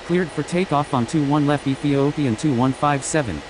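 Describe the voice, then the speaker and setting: Very deep-voiced woman as airport radio operator. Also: moderate pace, natural voice. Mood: businesslike. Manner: clipped, formulaic. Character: low-voiced, matter-of-fact airport radio operator in simulation game. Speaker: air traffic control and pilot radio voice. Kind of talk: scripted aviation radio phraseology. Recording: hissy, clearly noisy radio-style audio.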